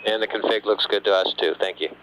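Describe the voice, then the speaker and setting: A man working as a mission control communicator, giving measured procedural instructions over the radio. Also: fairly low pitch, steady tone, a bit bright, poor audio. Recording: radio link with faint background hum.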